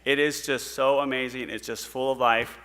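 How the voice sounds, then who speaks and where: A middle-aged man from Puerto Rico tells a church congregation about mission trips, earnest and unhurried, with frequent "uh"s between thoughts. His voice is bright and forceful, with a long hall echo from the sanctuary around him. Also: mid-range voice, level tone. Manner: earnest, storytelling, pauses with fillers.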